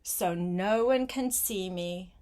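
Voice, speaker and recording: An older woman, a grandmother, reads a picture book aloud to a young child, gently adding simple asides and questions. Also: mid-range voice, slow pace. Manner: gentle, simple child-directed talk with questions and short phrases.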